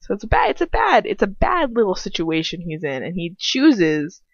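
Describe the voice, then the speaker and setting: A woman's voice: medium pitch, big pitch swings, moderate pace, no room sound. A woman commenting casually on a story in a rambling, blunt way, sarcastic and exasperated, swearing freely. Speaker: amateur fan fiction reader and commentator. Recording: dry, dull lo-fi home recording.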